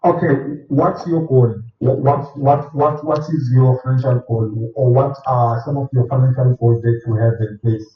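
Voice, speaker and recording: A man, a finance advisor explaining his approach step by step in a measured way, with frequent filler ums. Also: medium pitch, steady tone, soft background music. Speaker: personal finance advisor, guest. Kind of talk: explanatory interview answers. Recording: roomy, distant mic, dull sound.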